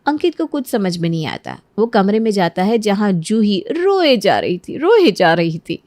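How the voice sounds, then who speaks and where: A woman's voice: medium pitch, big pitch swings, normal speed, darkish tone, dry recording, studio quality. A woman reading scripted narration for a cartoon story.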